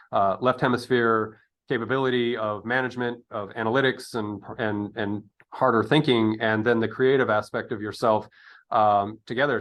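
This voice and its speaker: A man's voice: lowish voice, fairly bright tone. A man in his fifties hosting a casual interview, talking in a rambling, tangent-prone way. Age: 50s. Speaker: interview host.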